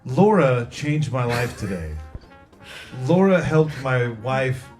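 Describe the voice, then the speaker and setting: A man's voice: mid-range voice, very animated, slow pace, darkish tone, soft background music. A male podcast host reading reviews aloud with mock-earnest drama in casual comedic chat.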